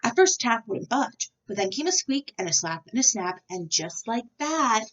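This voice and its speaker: Female picture-book reader: expressive, very animated, higher-pitched, recorded a little off-mic. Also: moderate pace. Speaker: storyteller. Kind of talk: expressive children's picture book read-aloud. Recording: slightly distant, dull microphone sound.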